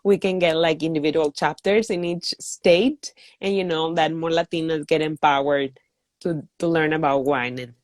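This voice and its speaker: A woman's voice: sits low, fairly even intonation, normal speed, a little mellow, no room sound. Woman from Mexico, dry close sound. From northeast Mexico. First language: Spanish. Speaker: interview guest, wine community founder. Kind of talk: casual conversational interview.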